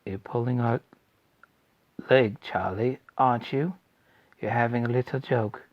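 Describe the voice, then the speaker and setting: A man voicing an excitable ninety-six-year-old grandfather who shouts with joy in a high, cracking old man's voice. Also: no echo, lightly acted. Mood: hushed. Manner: loud, drawn-out exclamations. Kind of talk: audiobook character voice. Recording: dull lo-fi recording.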